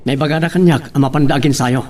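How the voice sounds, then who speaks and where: Man acting calm, analytical investigator type. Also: medium pitch, quick pace, natural voice. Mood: reasoning, reassuring. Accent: Ilocano. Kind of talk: Ilocano radio drama acting.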